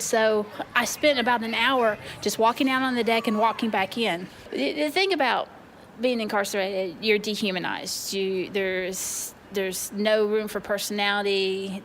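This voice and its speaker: A woman giving a reflective interview, speaking in halting, emphatic phrases that she often repeats and rebuilds. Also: medium pitch. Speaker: interviewee, former prison art student. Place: outdoors in a park. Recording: outdoor interview with ambient noise.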